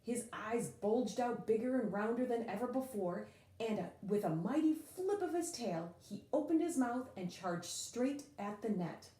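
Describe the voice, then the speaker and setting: A woman with a fairly high, mellow voice reads a picture book aloud for children. Her delivery is expressive and dramatic, rising and falling widely, with drawn-out emphasis on important words. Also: echoey room, low-quality recording. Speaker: storyteller reading aloud.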